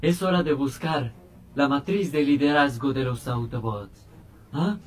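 A man voicing a curious, upbeat male robot scientist with a softened Costa Rican accent. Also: mid-range voice, a little slow, a little hiss or hum, natural voice. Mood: excited. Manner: excited, rising exclamations.

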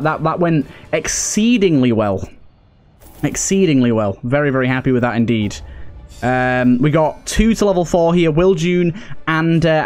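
A man's voice: a little high, a little mellow. A man giving casual, chatty gameplay commentary as a let's play host, thinking aloud with mild understatement. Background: video game music.

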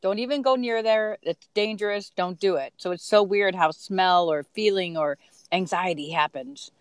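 A woman's voice: medium pitch, moderate pace, clean tone, no echo. Woman co-host, dry close sound. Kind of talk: casual chat. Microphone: video call.

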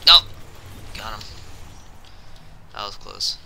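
Male gamer, low pitch with heavy fry, casual muttered play-by-play, slight background noise. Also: animated, slow, bright, forceful tone. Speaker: gamer commentating on gameplay. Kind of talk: casual gameplay commentary. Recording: home recording with faint noise.

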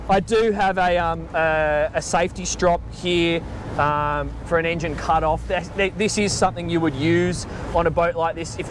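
Australian male host, easygoing, slightly high voice, lapel mic, wind and engine noise behind. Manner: chatty, easygoing, jokey with filler ums. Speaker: boat reviewer and host. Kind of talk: casual on-camera walkthrough. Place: open boat on a harbour, outdoors.

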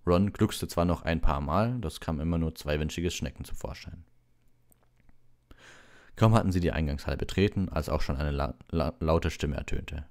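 A man with an extremely low voice reads a storybook for bedtime. His delivery is relaxed, in the manner of a live audiobook reader. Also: moderate pace.

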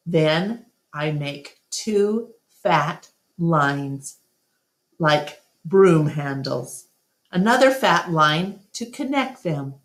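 A middle-aged woman reads a children's story aloud. Her voice sits a little low, and she takes her time, moving carefully and deliberately from phrase to phrase at a very unhurried pace. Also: lively intonation. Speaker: read-along storyteller. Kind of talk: reading a children's novel aloud.

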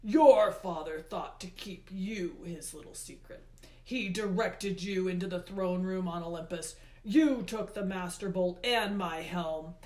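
A woman voicing a furious, imperious male god of the underworld for a storybook, with booming, emphatic, drawn-out words. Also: a little mellow, lightly acted. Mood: accusing anger. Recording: roomy, echoey indoor sound.

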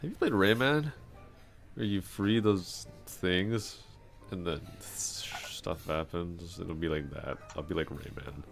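A man playing a drunk, awkwardly smitten office coworker in an intimate ASMR roleplay, rambling, hesitant and trailing off in a slurred, gravelly voice. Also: deep voice, slow pace, faint music behind, heavily acted. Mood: drunken rambling.

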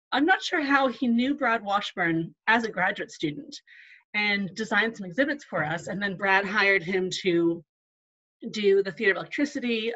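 A woman's voice: mid-range voice, bright, forceful tone, lo-fi sound. A woman archivist giving a live online talk, conversational with frequent ums and asides and no regional accent. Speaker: museum archivist.

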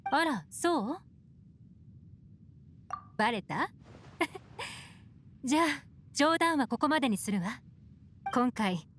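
A woman's voice: fairly high pitch, a little hiss or hum. A woman playing a cool, mysterious female agent in an anime game, poised, self-assured and teasing. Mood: sly, teasing. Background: music.

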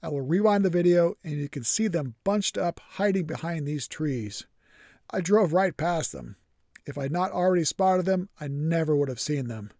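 A man's voice: a little high, moderate pace, creaky, warm tone, no room sound. Dry, matter-of-fact male narrator. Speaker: vlogger. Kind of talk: scripted voice-over narration.

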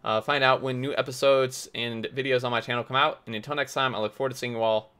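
A man hosting a casual game tour, talking with warm enthusiasm and plenty of fillers, his voice getting hoarse. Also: medium pitch, fairly even intonation, quick pace. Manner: enthusiastic, rambling with many fillers. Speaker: gaming video host.

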